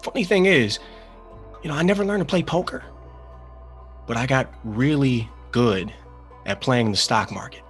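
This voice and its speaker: A male actor plays an earnest man recovering from gambling, worn down and ready to take a risk. He speaks in halting, confessional bursts with a very mobile pitch. It is dramatic table-read acting. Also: lively intonation, faint music behind, close to an everyday voice.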